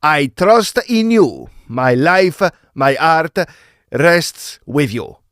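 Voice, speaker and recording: A man playing a grand, earnest opera composer in a period audio drama. His voice is slightly high and dry, and he declaims slowly and deliberately. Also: sing-song, fairly bright tone, smooth, dry recording, heavily acted. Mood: solemn, impassioned.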